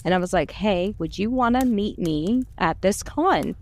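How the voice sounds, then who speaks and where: A woman's voice: medium pitch, lively intonation, a little slow. A middle-aged woman telling stories casually, in an exuberant, chatty and self-deprecating way. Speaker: audio drama voice actor and podcast cast member. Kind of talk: casual conversational storytelling.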